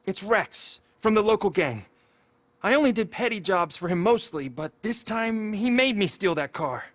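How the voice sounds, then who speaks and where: A high-voiced, anxious teenage boy. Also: expressive, moderate pace, fairly bright tone, dry recording. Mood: anxious, confessing. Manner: pleading, earnest, streetwise slang. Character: troubled teenage boy, petty thief, anxious and regretful. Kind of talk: video game cutscene acting.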